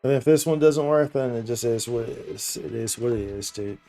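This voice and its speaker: A man's voice: medium pitch, brisk, dark tone. A man gives casual gameplay commentary as a livestreamer, with game music playing behind him. His talk is slangy and loose, and he thinks aloud about the game as he goes.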